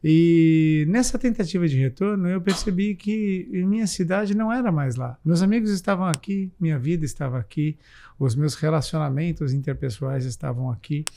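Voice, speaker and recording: A man in his forties from the São Paulo countryside, a physician and health manager, speaking reflectively in an interview with frequent pauses and fillers. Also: a little high, creaky, mellow. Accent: São Paulo countryside Brazilian Portuguese. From interior of São Paulo state, Brazil. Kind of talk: casual interview talk.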